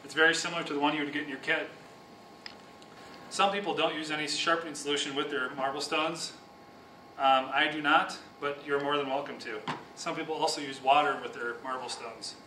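A young man with a bright, fairly high voice explains a hands-on task, calm and step by step. He sounds set back from the camera microphone. The large room around him makes his voice ring with echo. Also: far from the mic, slight background noise. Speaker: speed skating sharpening instructor. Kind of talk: instructional demonstration. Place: large office room with whiteboard and windows. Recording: echoey room, voice from off-mic.

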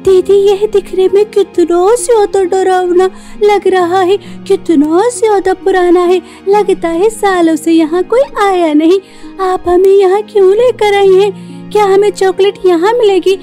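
A woman's voice: very high-pitched, steady tone, unhurried, warm tone, soft background music. A woman voicing a timid, frightened young schoolchild in a horror story, whimpering and pleading. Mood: uneasy. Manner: whimpering, pleading, repeating words.

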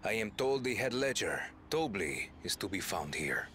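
Reserved serious game quester, lower voice, some background ambience. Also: male voice, big pitch swings, normal speed, a bit bright, slight background noise. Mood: matter-of-fact. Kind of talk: video game cutscene acting.

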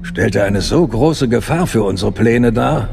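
Gravelly, dignified old dark lord. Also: male voice, medium pitch, lively intonation, music in the background. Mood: grave, attentive. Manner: measured, formal, deferential. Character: dignified old male Sith apprentice, deferential dark lord. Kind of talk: German science-fiction radio drama acting.

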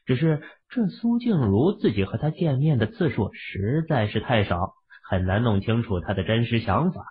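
A man's voice: mid-range voice, very animated, normal speed, husky. A man voicing an understated, musing young husband, the laid-back hero of an urban fantasy audiobook. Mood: puzzled. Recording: muffled, phone-like, lo-fi audio.

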